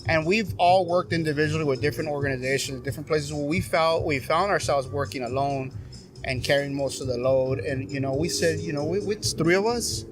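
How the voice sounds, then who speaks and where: A man's voice: a little high, a little fast, background noise. A middle-aged veteran man in casual podcast talk on a patio, joking and teasing his friends. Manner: teasing, joking, laughs often. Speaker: guest, veteran advocate. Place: covered patio with string lights at night.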